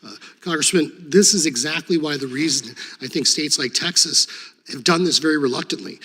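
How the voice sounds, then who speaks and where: An earnest man testifying before a congressional committee, a former state attorney general who grew up speaking Serbo-Croatian. His voice is bright and forceful, slightly higher than most men's. It carries long reverb from a large hearing room through a desk microphone. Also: brisk, slightly rough. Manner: earnest, many fillers and restarts.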